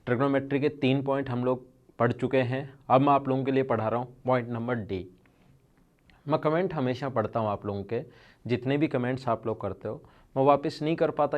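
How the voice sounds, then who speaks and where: A man's voice: medium pitch, fairly even intonation, fairly quick, muffled recording. Man teaching maths, strained throat. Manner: earnest, repetitive, addresses students directly. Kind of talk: online video lesson.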